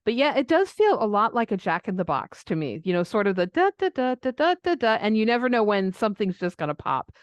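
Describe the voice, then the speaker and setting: A woman's voice: mid-range voice, very animated, brisk, hardly any pauses, no echo. Dry-sounding woman in casual chat. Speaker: astrology podcast co-host.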